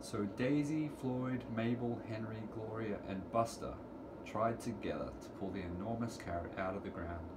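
A middle-aged man reading a children's picture book aloud to preschoolers in deliberate, sing-song storytelling phrasing, recorded in a room at home. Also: on the low side. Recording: clearly noisy home recording, room ambience.

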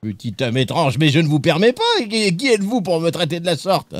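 A man playing a pompous, eccentric gentleman in a roleplay game, theatrical and mock-offended. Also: a little high, expressive, fairly quick, no echo, natural voice. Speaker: streamer. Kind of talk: in-character roleplay.

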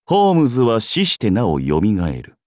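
An actor playing a cocky young genius schemer in a game, with a wry, theatrical manner. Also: male voice, lowish voice, huge pitch swings, a little slow, darkish tone, dry recording, clean studio sound. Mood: composed, wry. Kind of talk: game character acting.

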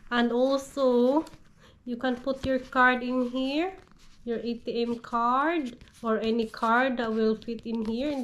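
A woman in her thirties with a cold, gushing over items she unboxes in her living room. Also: on the high side. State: has a cold. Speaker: haul vlogger. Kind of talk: casual product haul. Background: crinkling packaging.

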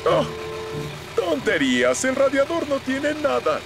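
A man's voice: very high-pitched, very animated, unhurried, creaky, heavily acted. A man voicing a pompous, easily flustered older bus driver in a cartoon, with a Latin American Spanish accent. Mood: dismissive. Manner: pompous, dismissive. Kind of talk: animated cartoon dubbing. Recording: loud music and vehicle sounds behind.

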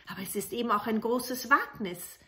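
A poor but brave soldier from a fairy tale, acted by a woman storyteller. The voice is bright and forward, earnest and courteous. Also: on the high side, moderate pace. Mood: eager, unsure.

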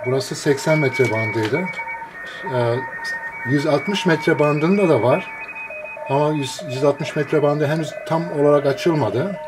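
A man, a radio hobbyist, explains a receiver in a casual, unscripted walkthrough, pausing between short phrases. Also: fairly high pitch, music in the background. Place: indoors, filming a computer screen.

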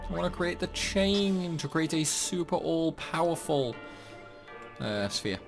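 Slow, rambling man doing game commentary. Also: on the high side, animated, vocal fry. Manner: rambling, grumbling asides. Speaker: gaming video host. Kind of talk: casual game playthrough commentary. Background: game music.

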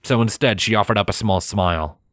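A man reading scripted fiction as a narrator, measured and expressive in his storytelling. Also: lowish voice, dry recording. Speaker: narrator of a fan fiction audiobook. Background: music.

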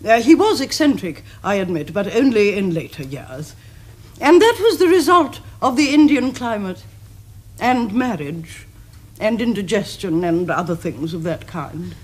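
An actress playing a haughty older aristocratic Victorian aunt with an upper-class English accent, stately and withering in a television period comedy. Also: female voice, mid-range voice, lively intonation, unhurried. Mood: dignified, evasive. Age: middle-aged.

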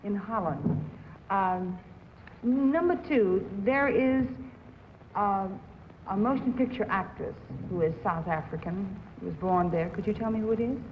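A woman on a 1950s game show panel asking questions in a hesitant, halting way, in thin old broadcast audio. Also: mid-range voice, in a large hall, lo-fi sound, a little hiss or hum. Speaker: celebrity panelist.

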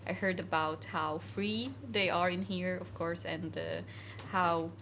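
Low-voiced woman from Syria, hesitant. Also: steady tone, a little slow, fairly bright tone, slight background noise. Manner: hesitant, many fillers, earnest. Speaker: interview guest. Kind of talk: casual conversational interview. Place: cafe, indoors.

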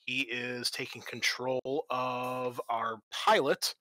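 Bright-voiced man hosting a tabletop game. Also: mid-range voice, fairly even intonation, slow pace, dry recording. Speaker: game master and podcast host. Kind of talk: hosting a tabletop roleplaying podcast, casual introductions and narration. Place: online group call.

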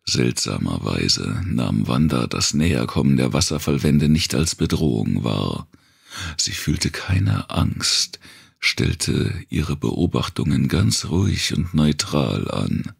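A man reading scripted narration for a dark thriller audiobook. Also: extremely low pitch, sing-song, takes it slowly, gravelly, husky.

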